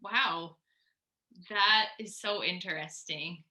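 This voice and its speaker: A woman's voice: lowish voice, takes it slowly, sharp tone, rough recording. A woman hosting a conversational interview in a friendly, attentive manner. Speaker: podcast host, interviewer.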